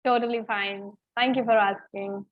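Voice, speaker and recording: A woman's voice: mid-range voice, fairly even intonation, normal speed, highs cut off, slight background noise. A woman moderating an online conference, polite and formal, greeting guests and calling them ma'am. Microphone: video call.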